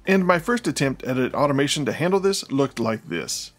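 A man's voice: mid-range voice, expressive, fairly quick, faint music behind. Middle-aged man narrating a tutorial. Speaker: host of a smart home tutorial channel.

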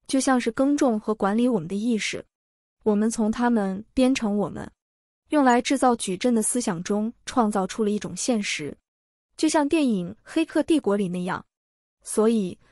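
A woman's voice: a little high, darkish tone, no room sound, studio quality, close to an everyday voice. A woman dubbing an earnest female speaker in a documentary monologue. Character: earnest woman calling for human unity. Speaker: documentary dub voice.

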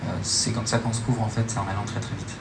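Low, flat-voiced man in an echoey hall. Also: over loud music. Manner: hesitant, halting phrasing. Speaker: startup presenter answering audience questions. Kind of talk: informal Q&A presentation. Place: meetup hall, on stage. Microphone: handheld microphone, recorded by camera in room. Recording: echoey hall, loud room noise.

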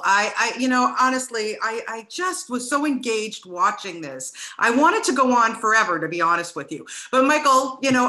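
A woman's voice: medium pitch, pushed and bright. A middle-aged woman interviewing guests over a video call from her living room, effusive and chatty, with many restarts.

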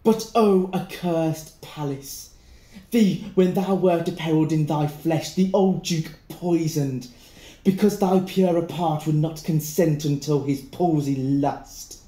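An actor playing a bitter, gleeful avenger in a Jacobean audio drama, declaiming theatrically. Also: male voice, a little high, mellow. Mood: bitter, vengeful. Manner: theatrical, deliberate, verse declaiming. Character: bitter, gleeful avenger brooding over a poisoned lover's skull. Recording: echoing, reverberant room.

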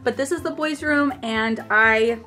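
A woman in her thirties talking casually through a home cleaning video, relaxed and chatty, laughing now and then. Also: on the high side, a little slow. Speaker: vlogger. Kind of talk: casual voice-over chat. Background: soft music underneath.

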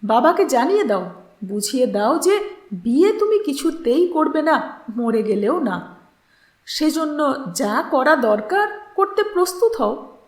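A woman's voice: high-pitched, sing-song, measured pace, echoey room. A woman voicing a firm male mentor who admonishes and persuades, in a story reading. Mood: urging. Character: firm, reasoning older male mentor advising a young woman.

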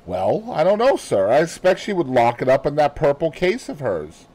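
A man's voice: on the high side, big pitch swings, normal speed, darkish tone, voice pushed up, rough recording. A man voicing a loyal, indignant housemaid who speaks emphatically and respectfully in an audiobook. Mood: earnest, respectful. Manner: emphatic, deferential. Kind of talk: audiobook character voice.

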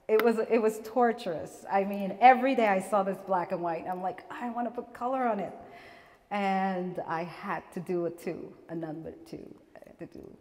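A middle-aged woman from Guyana giving a relaxed, chatty talk about her portraits, laughing often and restarting her sentences. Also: mid-range voice. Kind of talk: gallery artist talk. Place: small gallery room with seated audience, indoors. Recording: long reverb from the room.

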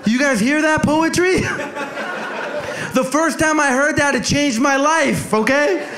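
A man's voice: very high-pitched, unhurried. A young man from El Salvador doing a brash, crowd-working stand-up set on a club stage, holding a handheld mic. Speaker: stand-up comic. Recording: echoey club with crowd noise.

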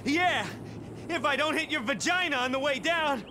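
An actor playing a cocky, reckless thrill-seeker in a video game, brash and teasing. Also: male voice, very high-pitched, normal speed, noisy background. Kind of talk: video game cutscene banter.

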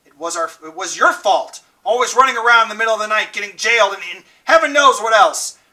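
A man reading aloud and voicing a bitter, hard middle-aged mother who is shrill and indignant. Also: fast talker, sharp tone, recorded from a distance. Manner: shrill, indignant and self-pitying.

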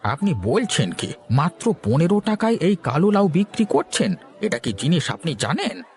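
A man's voice: on the high side, wildly swooping pitch, moderate pace, soft background music. A man playing a confident, well-informed village businessman in a cartoon. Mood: astonished, explaining. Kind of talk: cartoon voice acting.

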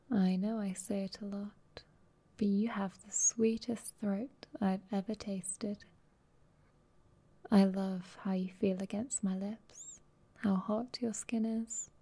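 Vampire girlfriend played by a woman, ASMR roleplay, unhurried, warm low-lit tone, breathy whispers, teasing, affectionately dominant, close-miked. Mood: tender, commanding. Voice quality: soft, breathy, often whispered. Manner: slow, tender, teasing. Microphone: close microphone.

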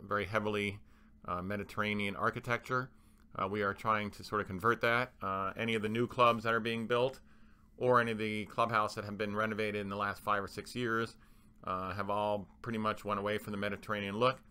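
Male manager for presentation voice-over: bright, forceful, smooth tone, explanatory and somewhat halting. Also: lowish voice. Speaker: club manager presenting renovation plans.

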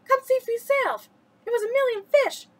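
A woman's voice: sits high, animated, brisk, pushed and bright. A woman reading a storybook aloud, voicing an eager young fisher boy who exclaims. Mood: excited.

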